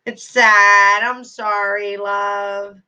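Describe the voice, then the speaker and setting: A woman's voice: mid-range voice, little pitch movement, very slow, sharp tone, highs cut off. A middle-aged woman hosting a casual livestream, chatting to viewers by name with endearments. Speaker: YouTube livestream host.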